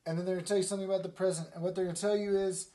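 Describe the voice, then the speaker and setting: A man voicing a commanding talking bush in a homespun Bible storytelling, loud and declamatory with grand announcements. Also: sits high, level tone, very fast, pitched above the performer's own voice, lightly acted. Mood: proclaiming.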